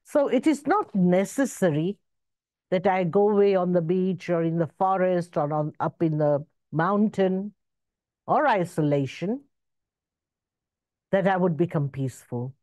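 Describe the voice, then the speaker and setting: A woman in her sixties, born in Zambia and raised in Zimbabwe, giving a slow reflective spiritual talk over a video call. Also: mellow, dry recording. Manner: slow, measured, rising and falling in pitch. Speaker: spiritual speaker. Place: indoor room with curtains. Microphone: video call webcam.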